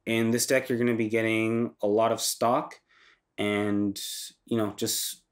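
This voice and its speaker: A man's voice: lowish voice, flat delivery, unhurried. A young man with a stuffy, congested voice from a cold, hosting a casual walkthrough and talking loosely with ums and self-corrections. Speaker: channel host reviewing a card game deck.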